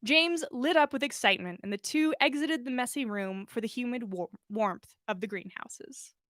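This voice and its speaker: A woman reading fan fiction aloud as a podcast host, in an expressive storytelling style. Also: a little high, moderate pace, no room sound, studio quality.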